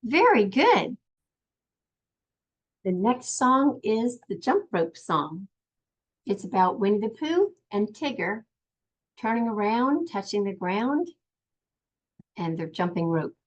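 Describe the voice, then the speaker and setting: An older woman patiently teaching young children in simple, step-by-step phrases, in the gentle style of a preschool lesson. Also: mid-range voice, very animated, slow, pauses often, lo-fi sound. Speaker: children's sign language and song teacher.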